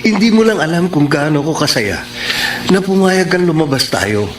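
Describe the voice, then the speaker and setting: An actor playing an earnest, lovestruck young suitor in Filipino radio drama style. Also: male voice, a little high, expressive, moderate pace, close to an everyday voice. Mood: eager, smitten. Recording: roomy studio echo, music underneath.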